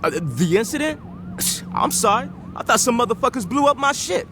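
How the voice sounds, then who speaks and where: An actor playing a young, hot-headed American gangster in a video game cutscene, indignant and sarcastic. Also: male voice, very high-pitched, sing-song, brisk, noisy background.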